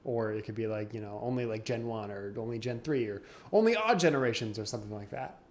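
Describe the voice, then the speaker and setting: A man's voice: mid-range voice, animated, fast talker, a little mellow. A young man co-hosting a podcast, chatting casually, laughing often and explaining game rules. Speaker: podcast co-host. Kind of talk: casual chat.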